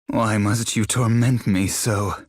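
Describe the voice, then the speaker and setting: A man's voice: on the low side, steady tone, slow pace, slightly rough. A man playing a megalomaniac self-proclaimed god in a fantasy game, with pompous, florid, theatrical delivery and lovesick obsession. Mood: mocking, lovesick. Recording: voice over music.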